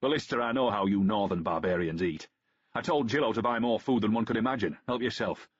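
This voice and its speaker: A man voicing a boisterous, hot-headed young Roman general with a Danubian accent, loud and hearty. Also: on the low side, a little fast, husky, highs cut off, pitched above the performer's own voice. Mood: teasing.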